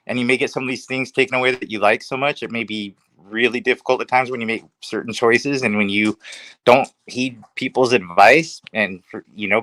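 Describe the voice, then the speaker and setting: A man's voice: medium pitch, pushed and bright, no echo, rough recording. A man on a livestream panel giving casual, opinionated commentary, restating his points as he goes.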